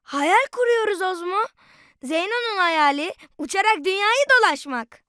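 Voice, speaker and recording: A woman voicing a dreamy, excitable, wide-eyed little boy in a children's cartoon, with music behind. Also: very high-pitched, moderate pace, no echo. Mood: dreamy, happy.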